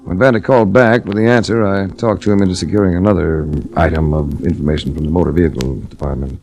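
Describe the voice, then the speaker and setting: An actor playing a stern, coolly accusing investigator in a 1940s radio drama, with a deadpan, clipped delivery. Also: male voice, low voice, fast talker. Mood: wry, matter-of-fact. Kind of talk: 1940s radio drama acting, noir narration. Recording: restored vintage 1940s radio recording.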